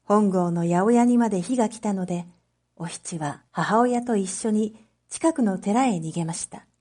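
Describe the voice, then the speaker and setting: A woman reading a story aloud as a narrator, in a measured, clearly phrased storytelling manner for reading practice. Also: mid-range voice, dark tone. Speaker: narrator, Japanese reading teacher.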